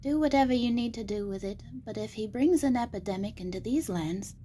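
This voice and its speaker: A woman's voice: fairly high pitch, a little fast, dark tone, a little hiss or hum, natural voice. A woman playing an exasperated figure of authority in an audio drama, stern and scolding.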